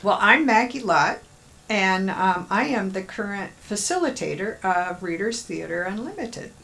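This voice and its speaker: A woman's voice: medium pitch, lively intonation, measured pace, vocal fry, fairly bright tone. An older woman, a former teacher and group facilitator, interviewing a fellow member and sharing her own memories in a friendly way. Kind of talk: casual interview talk.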